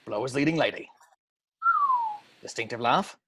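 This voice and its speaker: A man reading a driven, serious police sergeant in a table read over a video call, with a clipped, brisk delivery. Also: medium pitch, a bit bright, husky, no echo. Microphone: video call microphone at home.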